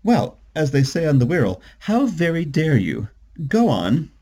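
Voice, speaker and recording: A man's voice: a little high, huge pitch swings, unhurried, dark tone, breathy edge, pitched above the performer's own voice, heavily acted. A man voicing a spirited, supportive young English wife in a storybook. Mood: mock-indignant.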